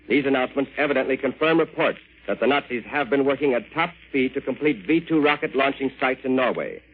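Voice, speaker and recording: Man, American accent, vintage radio news reporter, mid-range pitch, formal scripted delivery, thin muffled old broadcast sound. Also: slightly rough. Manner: formal, measured newsreading. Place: radio newsroom.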